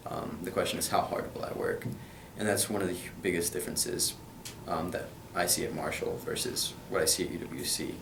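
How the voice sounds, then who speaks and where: A man's voice: low-pitched, fairly even intonation, a little fast, vocal fry, few pauses, reverberant, distant mic, background noise. A young man from Kentucky answering interview questions about his school in an earnest way, with plenty of hesitant fillers. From Kentucky, USA.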